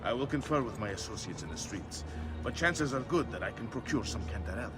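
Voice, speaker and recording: Actor as distant, obliging conspirator. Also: male voice, medium pitch, lively intonation, fast talker, loud music behind the voice, lightly acted. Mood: deferential. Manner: formal, deferential. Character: obliging male Italian conspirator, smooth-talking and assured. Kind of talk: dramatic video game acting.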